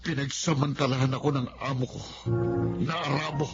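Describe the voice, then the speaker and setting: A man's voice: medium pitch, fairly even intonation, a little fast, natural voice. A man with a Filipino accent acting in a radio drama as an emotional, earnest husband and father haunted by past abuse. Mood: anguished. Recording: muffled lo-fi audio with music behind.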